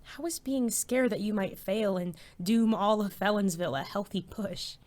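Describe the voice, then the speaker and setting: A woman's voice: medium pitch, moderate pace, darkish tone, lightly acted. A woman playing an anxious, self-doubting teenage student in an audio drama, with a hesitant, awkward, wry manner. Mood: nervous, wry. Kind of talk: audio drama acting with first-person narration.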